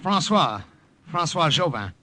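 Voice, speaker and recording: A man's voice: a little high, big pitch swings, unhurried, pushed and bright, full character voice. A man playing an eager, brash young army sergeant with an American accent, earnest and wry in an old-time radio drama. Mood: uneasy.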